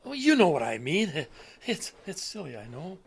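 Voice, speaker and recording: Halting elderly man, lively pitch swings. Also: breathy edge, heavily acted. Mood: hesitant, sheepish. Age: older. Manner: halting, stammering, hesitant. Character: confused, anxious elderly father with memory loss. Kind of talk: audio drama acting.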